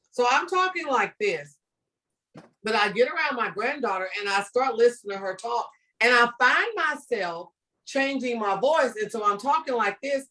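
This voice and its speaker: An older woman from the southern United States with a Southern accent, a teacher talking to students on a video call in a lively, storytelling and encouraging manner. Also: on the high side, far from the mic, low-quality recording. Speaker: ESL teacher guest. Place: home room with bookshelves.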